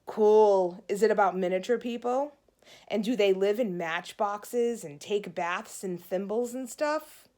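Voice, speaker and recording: A woman's voice: mid-range voice, measured pace, dark tone, close to an everyday voice. A young boy in a storybook, played by a woman reading aloud, the pestering little brother type. He is curious and wide-eyed, always questioning, with an eager delivery. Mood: curious, excited. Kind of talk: children's storybook read-aloud.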